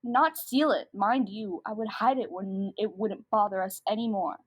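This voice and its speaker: A woman's voice: mid-range voice, moderate pace, breathy edge, close to an everyday voice. A girl of about twelve playing an exasperated, scheming schoolgirl who narrates her own confession in a comedic radio drama. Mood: scheming, smug. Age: child about twelve.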